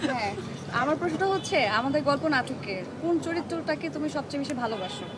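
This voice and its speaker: A woman's voice: high voice, animated, a little fast. A woman with a Bangladeshi accent casually putting questions to someone in an interview. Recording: noisy outdoor setting with urban traffic.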